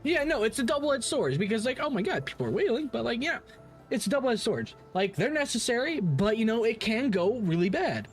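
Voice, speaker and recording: A man's voice: very high voice, big pitch swings, a little fast, soft background music. A man giving casual livestream commentary on games as the host, rambling and opinionated, with casual swearing.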